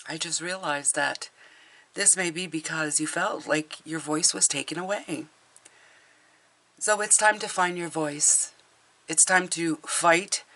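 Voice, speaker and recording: A middle-aged woman with a hoarse voice from a cold, chatting casually through a tarot reading with fillers and restarts. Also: on the low side, sharp tone. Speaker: tarot reader.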